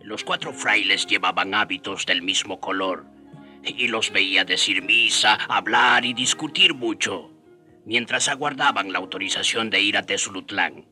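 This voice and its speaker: Storyteller guy in a radio play looking back fondly, really sharp bright voice, bit of music behind. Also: fairly low pitch, normal speed. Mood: reflective. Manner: reminiscent, storytelling. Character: fond storyteller recalling his days with the friars. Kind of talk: radio drama narration.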